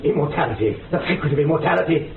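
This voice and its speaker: An actor in a radio horror drama playing an obsessed, grandiose scientist who lectures and declaims intensely. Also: male voice, fairly high pitch, expressive, rapid-fire, creaky, a loud crowd. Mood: demanding. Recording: phone-like band, echoey, distant, noisy.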